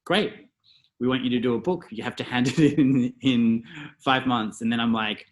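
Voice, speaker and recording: An Australian man in a casual interview, talking reflectively and thinking aloud with many pauses and fillers. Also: mid-range voice. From Australia (Melbourne). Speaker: guest, stylist and photographer.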